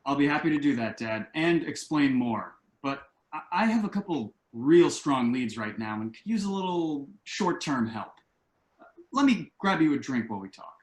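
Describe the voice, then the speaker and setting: A man's voice: medium pitch, animated, moderate pace, lightly acted. Man acting an earnest son, echoey room. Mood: placating. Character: earnest, optimistic grown son pitching his schemes. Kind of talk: virtual table read of a stage comedy-drama. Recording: roomy, echoey home recording.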